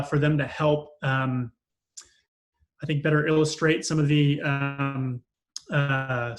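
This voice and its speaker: A man giving an unscripted customer testimonial as an operations manager, speaking hesitantly with frequent mid-sentence pauses. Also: fairly high pitch.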